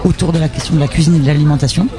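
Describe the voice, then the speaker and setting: A woman from northern Charente in France, a festival performer, chatting casually and lively as a guest on a festival radio show. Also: low voice, rapid-fire, a crowd in the background. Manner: lively, talkative, switches to familiar tu. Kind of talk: casual radio interview chat.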